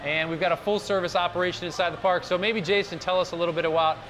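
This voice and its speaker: A man talks in an upbeat, chatty way, dropping plenty of casual fillers. His voice sits a little high for a man. He is hosting a promotional chat inside a restaurant, recorded on a lapel mic. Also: steady tone, fast, a bit bright. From Cleveland, Ohio. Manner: enthusiastic, chatty, many fillers like "like". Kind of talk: promotional interview.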